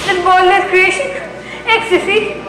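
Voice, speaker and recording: A troubled, pleading queen acted by a woman laments in Shakespearean verse. Her voice is very high and dramatic, ringing in an echoey hall. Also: steady tone, a little slow, over music. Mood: alarmed. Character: distressed queen and mother, pleading. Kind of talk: stage Shakespeare acting. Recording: echoey school hall stage.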